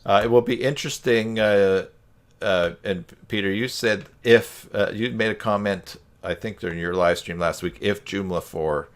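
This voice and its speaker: A man in casual conversation on a group video call, thinking aloud in a rambling, tentative way. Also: sits low. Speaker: participant in a web tutorial livestream.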